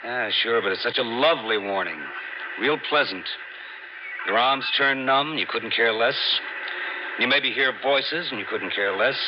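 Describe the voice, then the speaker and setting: Noisy, muffled old radio sound carries a male actor as a breezy diving buddy. He talks in a colloquial, wry way at a middling pitch, tossing off wisecracks even while being wary. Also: measured pace, lightly acted. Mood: wry, warning. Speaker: supporting actor. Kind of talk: old-time radio drama acting.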